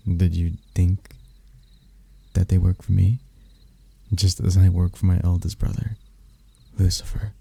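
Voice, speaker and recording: A man acts out a suave male demon prince in an intimate ASMR roleplay, his low voice thick with gravelly fry. He is arrogant and seductive, taking his time over every taunt and sliding toward a breathy murmur. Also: mellow, full character voice. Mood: smug, annoyed. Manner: smooth-talking, taunting, unhurried, dropping into whispers. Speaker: voice actor.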